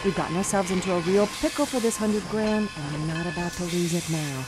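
A woman's voice: on the low side, fairly quick, lightly acted, low-quality recording. Tough wisecracking woman thief played by an actress, music behind. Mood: firm. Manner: wry, commanding, slangy. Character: tough, bossy, wisecracking female bank teller turned thief. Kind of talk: period radio drama acting.

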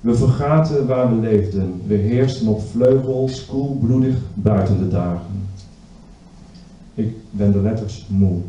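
A man's voice: lowish voice, measured pace, mellow, a little hiss or hum. A middle-aged man reading a poem from paper at a stand microphone, grave and weary in manner. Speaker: poet. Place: small indoor stage with curtains. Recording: roomy distant live stage recording.

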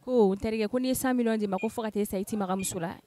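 Fast-talking young Malian woman interviewer. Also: mid-range voice, steady tone, warm tone, slight background noise. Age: young adult. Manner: quick, teasing questions. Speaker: street interviewer. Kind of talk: street vox pop interviews. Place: dusty residential street outdoors. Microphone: handheld.

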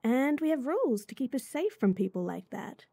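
A woman voicing a sweet, bubbly young rental girlfriend in an intimate roleplay audio, giggly, chatty and gently reassuring. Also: sits high, big pitch swings, moderate pace, dry recording, full character voice. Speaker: voice actor.

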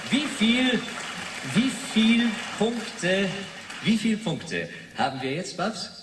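Effusive male show host, lively swooping delivery, slow and repetitive, distant amid loud crowd noise. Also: high-pitched, roomy sound, full character voice. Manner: halting, repeating himself. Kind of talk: 1980s German radio drama acting.

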